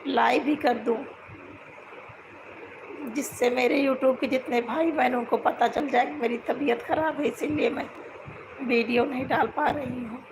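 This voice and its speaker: A hoarse woman with a cold, chatting casually on her live stream from home while lying down, and repeatedly asking viewers to comment. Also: high-pitched, fairly even intonation, measured pace, pauses often, background noise. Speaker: YouTube folk singer hosting a live stream.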